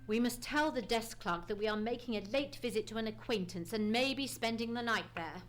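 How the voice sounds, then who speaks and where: A woman's voice: fairly high pitch, brisk, over loud music, natural voice. A woman playing a keen, commanding male detective in a live old-time radio mystery, speaking precisely and theatrically. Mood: brisk, commanding. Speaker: radio play actor. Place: theatre stage. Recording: noisy live theatre stage recording.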